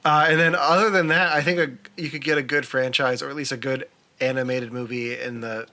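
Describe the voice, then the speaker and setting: A man's voice: mid-range voice, fairly even intonation, fairly quick, pushed and bright. A man hosting a podcast, chatting casually and with enthusiasm about movies and games. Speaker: podcast host.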